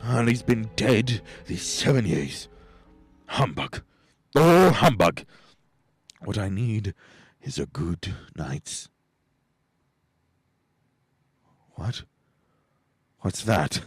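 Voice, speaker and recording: A man's voice: on the low side, big pitch swings, slow, pauses often, breathy edge, no echo, soft background music, heavily acted. A man playing a grumpy, miserly old employer in a radio play, curt and scornful, grumbling and barking orders. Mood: startled, uneasy.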